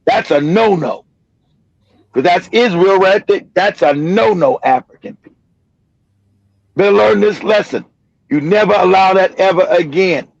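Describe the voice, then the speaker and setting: High gravelly man ranting on a stream. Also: a little slow, long pauses, no echo. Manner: animated, emphatic, profane, repeats himself. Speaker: livestream host. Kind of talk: heated livestream commentary. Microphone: streaming mic. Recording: dry, dull lo-fi stream audio.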